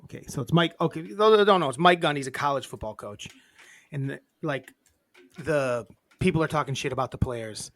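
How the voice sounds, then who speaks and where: A man's voice: on the high side, fairly quick, no room sound. A man in his forties co-hosting a podcast in casual chat, joking and swearing freely. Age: 40s. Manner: joking, swears freely, lively shifts of level. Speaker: podcast co-host.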